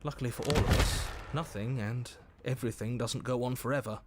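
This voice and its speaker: An actor playing anxious, sarcastic inner voices in a game, speaking in a dramatic, questioning way. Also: male voice, medium pitch, fairly quick, loud music behind the voice, lightly acted. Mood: grim, wry.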